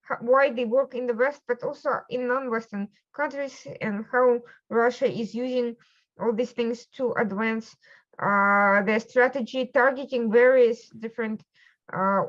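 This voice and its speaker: A woman hosts the show and introduces her guest in measured, formal tones. Her voice sits a little high. The audio is slightly muffled and lo-fi. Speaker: host and editor-in-chief.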